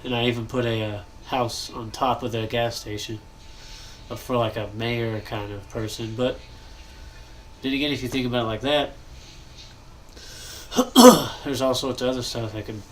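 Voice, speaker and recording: Stuffed-up young gamer host, loose thinking-aloud delivery, middle pitch, light background hiss. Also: male voice, steady tone, moderate pace, long pauses. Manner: rambling, thinking aloud, frequent coughs and throat clearing. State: congested, nasal. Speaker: gaming channel host. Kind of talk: casual commentary while building in a game.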